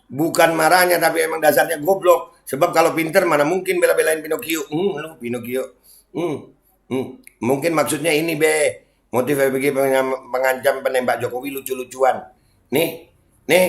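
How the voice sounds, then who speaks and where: A middle-aged man from Indonesia with a Jakarta Betawi accent, reacting to online comments in a mocking, heated, casual way, switching between low muttering and loud indignant outbursts. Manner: mocking, heated, laughs and sighs often. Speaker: commentator reacting to social media posts.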